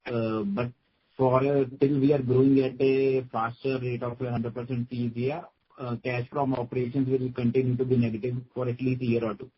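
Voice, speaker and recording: A man with an Indian accent giving matter-of-fact, halting answers as a finance executive on an earnings call. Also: medium pitch, flat delivery, husky. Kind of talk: spoken answers on a business conference call. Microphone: conference call line. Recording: dull, lo-fi conference call audio.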